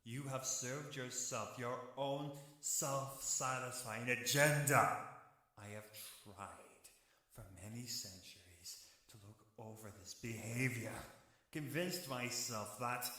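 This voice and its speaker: A man's voice: medium pitch, steady tone, slow, sharp tone. A man playing a grave, stern archangel in an audio drama, speaking in a solemn, formal and reproachful way. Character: stern, grave male archangel passing sentence. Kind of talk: scripted audio drama acting. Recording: heavily echoing, cavernous sound.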